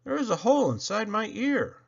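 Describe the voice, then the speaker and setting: Storybook kid faking sick, played by a man, plaintive and hammy, pitch swooping wildly, slightly dull recording. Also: very animated, a little fast, creaky, slightly rough, exaggerated voice. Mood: miserable, whiny.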